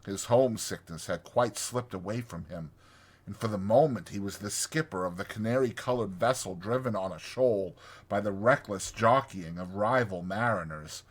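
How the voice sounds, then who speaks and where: A man narrating a classic storybook in a theatrical, deliberate way, as a dramatized audiobook. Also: on the low side.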